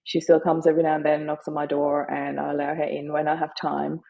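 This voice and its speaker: Gravelly, husky German-speaking woman. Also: low voice, flat delivery, lo-fi sound. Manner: earnest, halting, with frequent fillers. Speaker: guest, grief advocate and author. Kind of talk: reflective interview storytelling.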